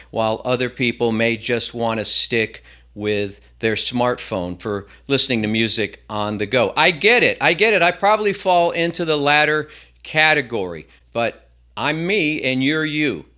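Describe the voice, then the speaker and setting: A man's voice: mid-range voice, unhurried, bright, forceful tone, clean tone. A man hosting a casual gadget review, chatting to the viewer with self-deprecating asides. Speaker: tech reviewer, host.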